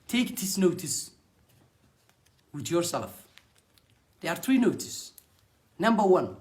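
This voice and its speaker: A young man with a Somali accent, a native Somali speaker, giving an earnest personal testimonial about his network marketing business in short halting phrases. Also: high-pitched.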